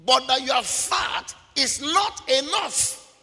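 Male preacher, very high, bright and gravelly voice, emphatic and repetitive with shouted bursts, long hall reverb. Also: animated, takes it slowly. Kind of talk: sermon.

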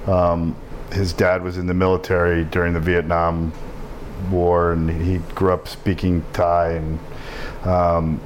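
Podcast guest: low-voiced American man, rambling and halting, faint hiss behind. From Pennsylvania, United States. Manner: halting, many restarts and fillers, swears casually. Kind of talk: casual conversational storytelling. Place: indoors in Bangkok.

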